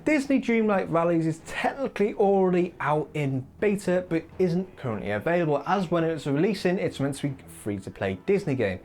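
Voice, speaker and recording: A man's voice: a little high, brisk, hardly any pauses, slight background noise. A man hosting a gaming countdown in casual commentary, rambling and opinionated, his voice wearing thin. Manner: opinionated, rambling, lively asides. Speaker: gaming video host. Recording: faint music behind.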